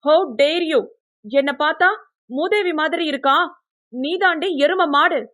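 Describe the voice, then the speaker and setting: A woman's voice: high-pitched, slow, fairly bright tone, voice pushed up. A woman voicing a haughty, scornful young woman who snaps and sneers in an audio novel. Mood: indignant, scornful. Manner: snapping, sneering, mixes in English.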